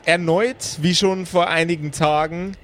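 A man's voice: a little high, fairly even intonation, unhurried, a bit bright, slight background noise. A man working as game master, improvising narration and chatting casually with his player.

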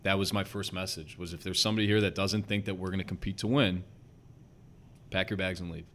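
A young man with a slight Chicago accent, speaking as a guest coach on a podcast. His voice is very deep and stays quite flat. He talks earnestly and quickly, full of "you know" and "um", with a faint low rumble behind him. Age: young adult. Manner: earnest, talks fast with many fillers. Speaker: basketball head coach, guest. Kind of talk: casual podcast interview.

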